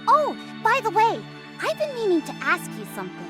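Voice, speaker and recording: Very high-pitched curious young girl. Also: huge pitch swings, normal speed, over music, full character voice. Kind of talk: video game cutscene acting.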